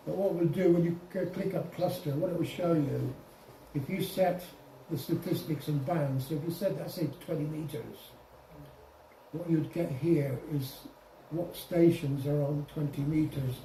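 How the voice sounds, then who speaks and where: A man giving an informal software demonstration to a group, explaining step by step with some hesitation and self-correction. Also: on the high side, moderate pace, creaky. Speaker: presenter at an amateur radio club. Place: indoor club meeting room. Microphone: distant room microphone. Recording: echoey room, distant mic, faint noise.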